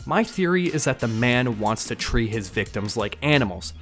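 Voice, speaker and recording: A man narrates a scripted video breakdown as the host, in a medium-pitched voice. His delivery is that of an online narrator who mixes prepared commentary with casual asides. Music plays underneath his voice. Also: animated, normal speed, a bit bright.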